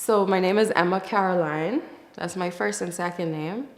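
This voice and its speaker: A woman from the Bahamas giving casual, reflective interview answers in a thoughtful, candid way, with pauses as she thinks. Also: fairly low pitch. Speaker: interview guest, musician. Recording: echoey, reverberant room.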